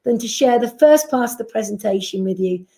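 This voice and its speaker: Woman, business presenter, persuasive, mellow tone, echoey room. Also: on the high side, animated, brisk, creaky. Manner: persuasive, rhetorical questions. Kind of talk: sales presentation.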